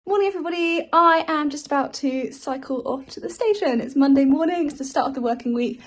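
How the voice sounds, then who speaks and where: A woman's voice: sits high, fast. A woman in her twenties with an English accent, chatting informally and self-deprecatingly in a casual day-in-the-life vlog. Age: 20s. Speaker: vlogger, student actuary. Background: music.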